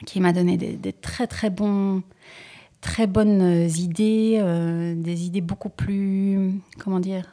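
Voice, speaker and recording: A woman singer being interviewed about her album, who speaks thoughtfully with frequent hesitations and pauses. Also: medium pitch, level tone, mellow. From Belgium. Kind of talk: relaxed interview conversation.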